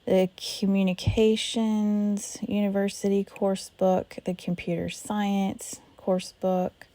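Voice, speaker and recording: A woman with a hoarse voice calmly narrating a life-simulation game as host of a let's play. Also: mid-range voice, slow, dry recording. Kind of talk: gameplay narration.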